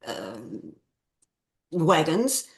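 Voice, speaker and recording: A woman author in an interview, explaining things conversationally in a measured, reflective way with careful phrasing. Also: deep voice, big pitch swings.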